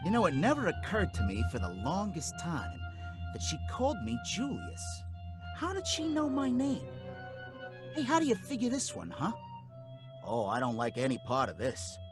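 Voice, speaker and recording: A man's voice: fairly high pitch, wildly swooping pitch, normal speed, music in the background. An actor playing a nervous working-class cab driver who narrates his own story, rambling in folksy street talk. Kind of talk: radio drama acting.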